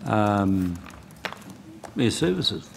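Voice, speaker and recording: A man's voice: fairly low pitch, sing-song, extremely slow, vocal fry. Blunt Australian man with noise behind him. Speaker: senator questioning officials at a hearing. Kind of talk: parliamentary questioning. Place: committee hearing room.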